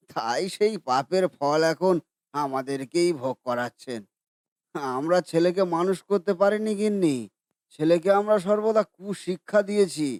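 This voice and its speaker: A man voicing a regretful middle-aged father in a cartoon, speaking in a measured, reflective way. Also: on the high side, darkish tone, no room sound, clean studio sound, lightly acted.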